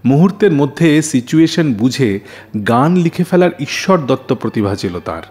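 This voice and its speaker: A man presents a radio show as a storyteller. His mid-pitched voice moves in animated swings, with dramatic phrasing and deliberate pauses, over soft background music. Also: breathy edge. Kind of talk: scripted radio narration, storytelling. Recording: music behind the voice.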